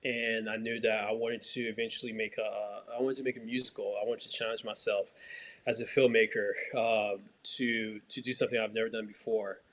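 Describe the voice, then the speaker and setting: A man from Haiti, a filmmaker, answering interview questions in a relaxed, rambling way with many fillers and restarts. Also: on the low side, fairly quick, dull audio, a little hiss or hum. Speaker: filmmaker guest.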